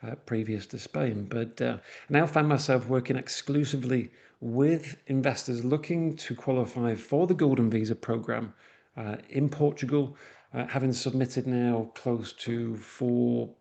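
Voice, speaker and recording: Manchester guy giving a webinar, kinda gravelly and dark, restarts a lot. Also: medium pitch, unhurried, dull audio. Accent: Mancunian. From northwest England. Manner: talks with frequent uhs and restarts. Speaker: presenter. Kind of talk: webinar presentation.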